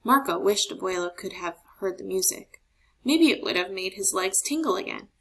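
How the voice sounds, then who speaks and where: Creaky-voiced woman reading a children's story. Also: medium pitch, expressive, moderate pace, a bit bright, poor audio. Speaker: storybook reader. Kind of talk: expressive children's read-aloud narration.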